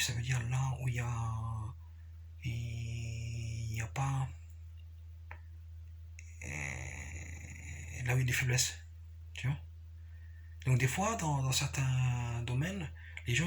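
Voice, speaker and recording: A man giving a casual, unscripted explanation, repeating himself haltingly and often checking that the listener follows. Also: mid-range voice, animated, a bit bright, slight background noise. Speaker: capoeira practitioner sharing views.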